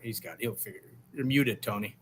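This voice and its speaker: A man's voice: on the low side, moderate pace, husky. A man co-hosting a casual livestream chat show, joking and self-deprecating in banter with his co-host.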